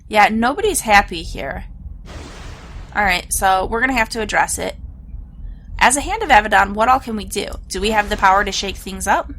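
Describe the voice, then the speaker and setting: A woman's voice: medium pitch, expressive, normal speed, a bit bright, a little hiss or hum. A young woman with a stuffed-up nose, thinking aloud casually while playing a game. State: stuffed up, sniffling. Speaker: let's play gamer. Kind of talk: casual gameplay commentary.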